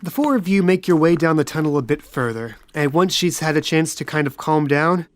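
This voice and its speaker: A woman's voice: low-pitched, lively intonation, fairly quick. A woman narrating a tabletop roleplay podcast as game master.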